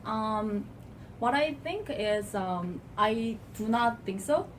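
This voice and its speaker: A woman with a Korean accent, an assistant professor, answering an interview question hesitantly with many pauses. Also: fairly high pitch, expressive, a little hiss or hum. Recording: voice from a distance.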